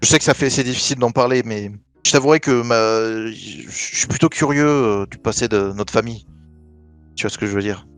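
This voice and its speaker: A man's voice: mid-range voice, a little fast, smooth, no echo, soft background music. A man playing a tabletop role-play in character, stammering often as he asks probing questions. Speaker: player.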